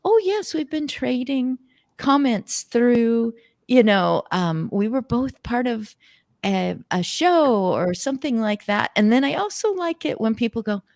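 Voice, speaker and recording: A woman's voice: fairly high pitch, animated, a little slow, creaky, darkish tone, no room sound, studio quality. A woman hosting an unscripted group chat on a video call in a playful, exclamatory manner. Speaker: host.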